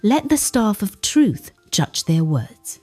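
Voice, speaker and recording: A woman's voice: sing-song, takes it slowly, warm tone, faint music behind. A woman voicing a stern, commanding village king in a folktale, declaiming his orders. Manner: booming, declamatory.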